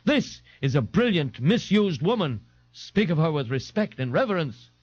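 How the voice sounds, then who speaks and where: A man acts out a pompous, overweight male know-it-all from a comic novel. He over-enunciates every grand phrase with indignation. His pitch leaps around, and the sound is dry and slightly dull. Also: very animated, moderate pace, darkish tone, dry recording. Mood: thundering indignation. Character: pompous, bombastic, overweight male slob intellectual. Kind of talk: audiobook character voice.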